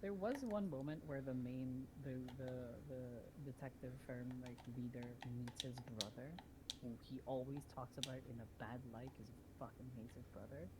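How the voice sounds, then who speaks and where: A woman chatting casually on a live stream, rambling in an animated way, repeating phrases and swearing freely. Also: extremely low pitch, normal speed, background noise. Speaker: streamer telling friends a story idea. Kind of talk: casual chat on a voice call.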